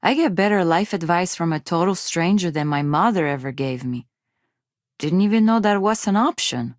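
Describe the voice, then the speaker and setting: An actress playing a shy, self-doubting young undergraduate from a poor space colony, with a hesitant, awkward manner, in video game dialogue. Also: female voice, fairly low pitch, lively intonation, normal speed, no echo, studio quality, close to an everyday voice. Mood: resigned, wistful. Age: young adult.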